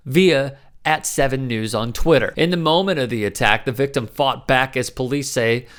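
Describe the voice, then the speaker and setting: A man's voice: medium pitch, moderate pace, a bit bright. Man reading sports news steadily. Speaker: sports show host. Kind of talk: news commentary read from script.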